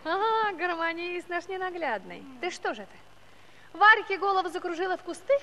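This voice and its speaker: A woman's voice: very high-pitched, lively intonation, brisk, pushed and bright, exaggerated voice. A woman playing a bold young village leader in a musical comedy, scolding and teasing in rustic, challenging speech. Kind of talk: theatrical musical comedy acting.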